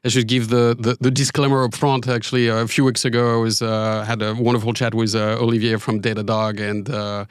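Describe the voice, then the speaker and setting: A man's voice: medium pitch, flat delivery, a little fast, few pauses, clear, resonant tone, no room sound. Podcast host guy, native french speaker, bit hesitant, um's and uh's, dry mic. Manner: friendly, hesitant, with fillers. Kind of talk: podcast interview.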